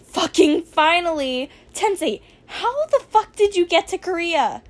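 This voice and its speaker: A woman voicing a bossy, foul-mouthed, meddling adult heroine with a loud, emphatic delivery in a fan fiction reading. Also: extremely high pitch, very animated, unhurried, pitched above the performer's own voice, exaggerated voice. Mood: demanding.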